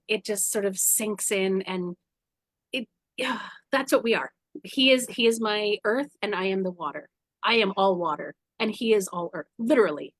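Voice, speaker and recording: A woman in her forties telling personal stories candidly in conversation, animated and blunt, often acting out her own inner dialogue. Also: medium pitch, no room sound. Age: 40s. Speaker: guest, spiritual guide.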